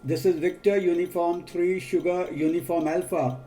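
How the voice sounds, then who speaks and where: An Indian-accented man working as an amateur radio operator, measured and repeating key details in a procedural exchange. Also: sits high, steady tone, warm tone, slight background noise.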